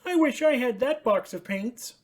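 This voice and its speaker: A woman voicing an excitable, fretful young male puppet in a children's storybook, exclamatory and earnest. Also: fairly high pitch, moderate pace, pitched above the performer's own voice, close to an everyday voice. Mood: excited, wishful.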